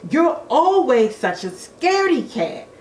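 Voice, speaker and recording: A woman's voice: high-pitched, big pitch swings, a little slow, a little mellow, reverberant, a little hiss or hum, pitched above the performer's own voice, rough recording. A woman voicing a boastful, brave little girl in a children's storybook, with a theatrical sing-song delivery. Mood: scornful. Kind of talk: children's storybook read-aloud.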